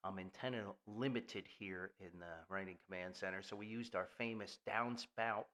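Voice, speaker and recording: A man's voice: medium pitch, fairly bright tone. A man hosting a casual solo podcast, talking in a rambling, folksy way with conversational asides. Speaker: podcast host.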